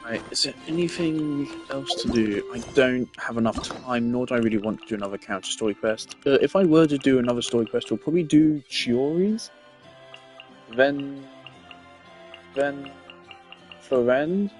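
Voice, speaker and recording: A man's voice: medium pitch, moderate pace, darkish tone, soft background music. A man giving casual gameplay commentary as a streamer, rambling and thinking aloud. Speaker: game streamer.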